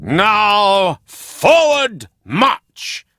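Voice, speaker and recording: A man's voice: sits high, huge pitch swings, drawn-out words, pushed and bright, no room sound, studio quality, soft background music, pitched above the performer's own voice, heavily acted. A man voicing a showy circus ringmaster in a storybook, making grand, commanding announcements.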